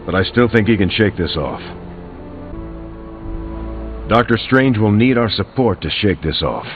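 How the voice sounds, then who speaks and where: Game role: matter-of-fact fighter, low-pitched and firm, lo-fi sound with faint music. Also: male voice, expressive, a little slow. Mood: serious. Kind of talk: video game voice acting. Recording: dull band-limited game audio with music.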